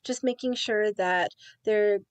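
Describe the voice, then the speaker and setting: Young woman, student presenter, earnest, steady, mid pitch, dry close recording. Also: slow, clear, resonant tone, no room sound. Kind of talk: reading a class report.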